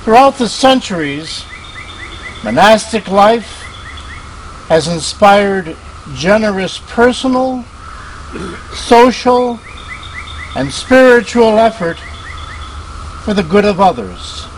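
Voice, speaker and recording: Guy w high voice, slow ceremonial reading, short phrases, birds outside. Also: lively intonation, low-quality recording. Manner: deliberate, broken into short phrases. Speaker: committee member reading a statement. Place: outdoors at a rural hermitage. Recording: outdoor recording with birdsong. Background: birdsong, faint ambient noise.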